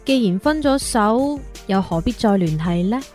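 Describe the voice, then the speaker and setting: An actress playing a coy, gently teasing young single woman in a Cantonese radio drama. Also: mid-range voice, expressive, normal speed, soft background music, lightly acted. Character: coy, virtuous young single museum worker.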